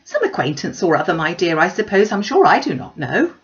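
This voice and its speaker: A woman's voice: on the low side, big pitch swings, fairly quick, muffled recording. A woman reading a storybook and voicing a gushing, fretful middle-aged mother in an emphatic, exclamatory way. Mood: fussy, dismissive.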